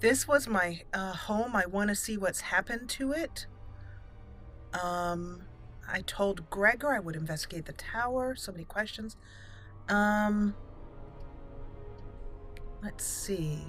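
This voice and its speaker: A woman hosting a roleplay game playthrough, commenting and reading aloud deliberately as she thinks. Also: medium pitch, expressive, fairly bright tone. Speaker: let's play host. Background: game music.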